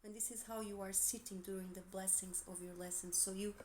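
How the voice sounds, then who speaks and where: A woman with a Portuguese accent, gentle and encouraging, giving a tarot reading with soft asides and self-corrections. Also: medium pitch, steady tone, fairly quick, a little hiss or hum. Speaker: tarot reader.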